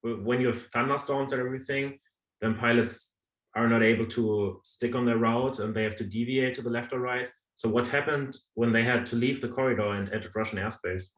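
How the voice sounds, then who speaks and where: A man's voice: medium pitch, fairly even intonation, a little fast, fairly bright tone, echoey room, poor audio. A man hosting a podcast interview, asking questions in a conversational way.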